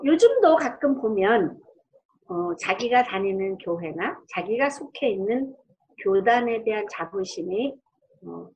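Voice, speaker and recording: A middle-aged woman preaching as a pastor in an earnest, conversational way, with a strong, loud voice. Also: mid-range voice, rough recording. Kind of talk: sermon with personal testimony.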